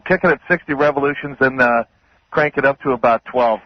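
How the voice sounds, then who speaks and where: A man's voice: mid-range voice, a little fast, no room sound. A middle-aged man chatting casually on a radio phone-in, accommodating and self-deprecating. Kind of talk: casual on-air phone chat. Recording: muffled lo-fi phone call on radio.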